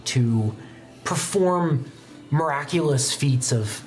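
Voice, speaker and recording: A man working as game master, narrating a tabletop roleplaying game in a dramatic, halting way with informal asides. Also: medium pitch, lively intonation, soft background music, rough recording.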